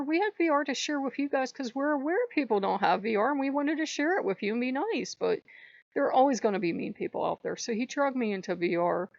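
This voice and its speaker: A slightly hoarse woman hosting her own channel, talking in an earnest, rambling way and repeating phrases for emphasis. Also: mid-range voice, no echo, lo-fi sound. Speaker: channel host. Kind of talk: casual spiritual vlog monologue.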